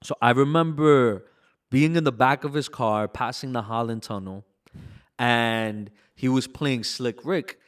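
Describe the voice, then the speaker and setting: A man's voice: mid-range voice, unhurried, smooth. Young Brooklyn man telling stories. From Brooklyn, New York. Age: 20s. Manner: relaxed, reflective storytelling with frequent "like" and "you know". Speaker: bachata artist, guest. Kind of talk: casual interview conversation.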